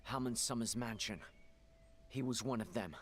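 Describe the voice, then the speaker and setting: A man playing a grim, urgent adventurer leading his party in a fantasy game, terse and decisive, with a husky voice. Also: mid-range voice, normal speed, a little hiss or hum, natural voice. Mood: resolute. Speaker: game character, leader of adventurers. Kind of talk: fantasy video game cutscene acting.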